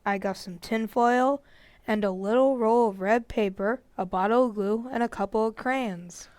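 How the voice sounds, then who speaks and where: A woman's voice: on the high side, moderate pace, darkish tone, clean tone, no echo, close to an everyday voice. A woman acting an earnest, glum schoolboy son in an old-time radio comedy. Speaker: amateur actor.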